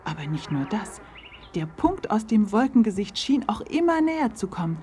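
A woman narrating a scripted story for children, addressing them directly as a measured storyteller. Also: medium pitch, sing-song, a little mellow, slightly rough.

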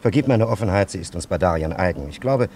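Stately, low-voiced ancient scholar. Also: male voice, animated, fast talker, a little mellow, a little hiss or hum. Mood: offended, condescending. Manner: stately, archaic, over-courteous diction. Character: solemn, condescending ancient scholar and time traveller, elderly man. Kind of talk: radio play acting.

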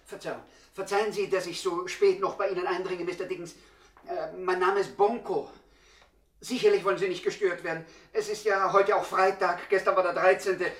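An actor playing a timid, superstitious petty crook in a radio play, hesitant, stammering and very polite. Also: male voice, high-pitched, steady tone, normal speed, a bit bright. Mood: nervous, apologetic. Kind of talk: 1960s German radio play acting.

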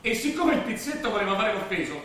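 Actor as high-voiced Roman storyteller on echoey stage. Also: male voice, fast talker, full character voice. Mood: vivid storytelling. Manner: animated storytelling, mimics people he quotes. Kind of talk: theatrical stage drama dialogue. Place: theatre stage, recorded from afar. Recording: echoey stage, distant mic, faint noise.

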